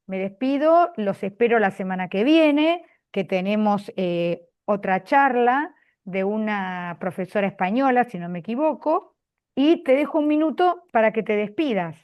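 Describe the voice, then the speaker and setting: A woman's voice: on the high side, animated, a little slow, muffled recording. An Argentine woman hosting a video call, talking chattily and dropping frequent "eh" fillers, speaking from a public office. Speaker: host. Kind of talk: video call closing remarks.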